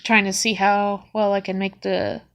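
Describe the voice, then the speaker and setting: A woman's voice: medium pitch, flat delivery, normal speed, a bit bright. Sniffly young woman narrating her sketchbook. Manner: hesitant, with many ums and restarts. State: sniffly from a cold. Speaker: vlogger showing her sketchbook. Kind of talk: casual sketchbook walkthrough.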